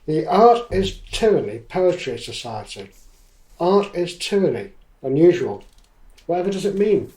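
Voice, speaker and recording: An actor in a radio drama playing a mild, supportive young man, speaking politely and in a measured way. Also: on the high side, sing-song, mellow, poor audio. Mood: curious. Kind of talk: radio drama acting, domestic dialogue.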